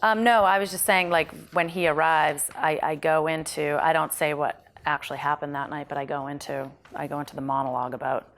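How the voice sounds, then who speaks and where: A woman's voice: deep voice, steady tone, fairly quick, few pauses, fairly bright tone. A woman who is an actress, chatting casually in a group discussion about her work.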